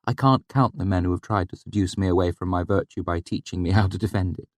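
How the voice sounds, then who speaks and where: A man's voice: sits low, fast talker, dry recording, studio quality, close to an everyday voice. A man voicing a sly, practical young woman in an audiobook, with a wry, teasing manner. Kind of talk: audiobook character voice.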